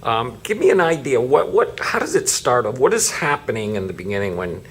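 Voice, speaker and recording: A man's voice: mid-range voice, big pitch swings, fairly quick, fairly bright tone. A man working as a reading tutor, casually asking a pupil questions in an encouraging way. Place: small meeting room, indoors. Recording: faint background noise, room ambience.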